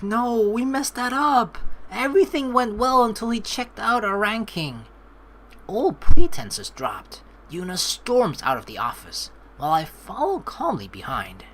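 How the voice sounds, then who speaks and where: Expressive, low-pitched woman gaming streamer. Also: a little hiss or hum. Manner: expressive, sweary, dramatic. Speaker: let's play streamer. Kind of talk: casual gaming commentary, reading game text aloud and improvising replies. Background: game music.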